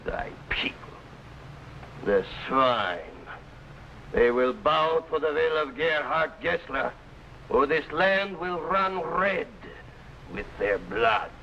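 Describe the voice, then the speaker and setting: Villainous governor, hard bright voice, archaic speech, imperious, sneering, vintage serial drama, lightly noisy recording. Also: male voice, a little high, lively intonation, slow pace, heavily acted. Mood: furious. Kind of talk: old-time adventure serial acting. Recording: noisy old broadcast recording.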